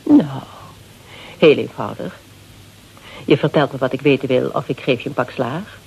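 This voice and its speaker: A woman's voice: rumbling low, normal speed, vocal fry, darkish tone, husky, highs cut off, full character voice. An actress playing a secretive, tough, self-assured female snoop with a wry, probing manner in a radio drama. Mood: menacing, calm. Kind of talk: Dutch radio drama acting.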